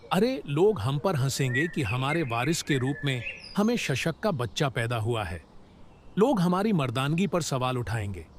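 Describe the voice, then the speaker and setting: A man's voice: mid-range voice, background noise, lightly acted. A man playing a proud, heartless king in a cartoon moral story, declaiming imperiously. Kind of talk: animated moral story acting.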